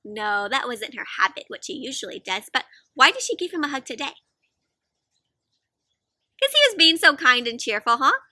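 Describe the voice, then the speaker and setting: High, very bright young woman reading to children. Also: moderate pace, pauses often, dry recording, clean studio sound. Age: young adult. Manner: expressive, asks frequent questions, gasps. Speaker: children's read-aloud host. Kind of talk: interactive picture-book read-aloud for children. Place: outdoors in a fenced backyard.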